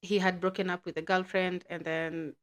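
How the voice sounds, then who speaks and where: A woman hosting a podcast, chatty and explaining things in a relaxed way with lots of fillers. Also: fairly low pitch, normal speed. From Kenya. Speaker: podcast host. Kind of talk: casual chat.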